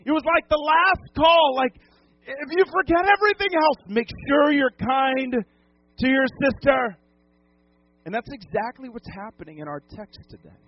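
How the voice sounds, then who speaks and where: A man's voice: very high-pitched, lively intonation, unhurried, no room sound, dull audio. A man from New England preaching a sermon as pastor, emphatic, repeating phrases and putting questions to the congregation. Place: church sanctuary.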